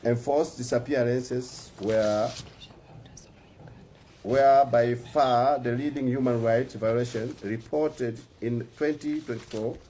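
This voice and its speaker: A man with a Tanzanian accent reads a prepared statement aloud in a formal way, sometimes halting over words. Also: medium pitch, steady tone, mellow, a little hiss or hum, rough recording. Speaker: musician and activist.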